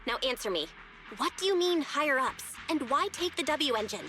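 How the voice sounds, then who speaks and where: A woman's voice: high voice, animated, fairly quick, pushed and bright, soft background music. An actress voicing an earnest, determined young female investigator in a video game. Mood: stern, demanding.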